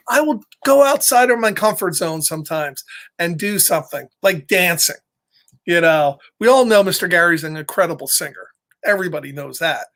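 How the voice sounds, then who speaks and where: A man's voice: high voice, fairly bright tone. Middle aged guy reading a picture book to kids, chatty, playful, encouraging, keeps it simple. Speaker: storyteller for children. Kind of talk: casual children's read-aloud with chatty asides.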